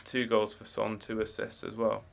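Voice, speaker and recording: A young man with no particular accent chats through a late-night game stream, his voice sitting slightly low. He rambles loosely, restarting sentences and padding them with fillers. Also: completely flat delivery, normal speed, creaky. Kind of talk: casual live-stream chat.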